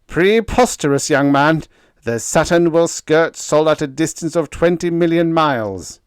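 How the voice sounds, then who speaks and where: A man's voice: a little high, measured pace, dry recording, clean studio sound, voice pushed up. A man voicing a pompous, spiteful official who snarls and raves. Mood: angry. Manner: snarling, venomous. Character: spiteful, pompous male efficiency expert.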